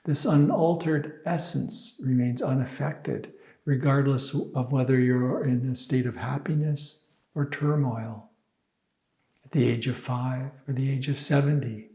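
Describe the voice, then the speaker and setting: Male meditation teacher. Mellow, relaxed tone, calm measured phrasing, roomy echo around the voice. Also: medium pitch. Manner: calm, unhurried phrasing with pauses. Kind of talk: guided meditation, slow and measured.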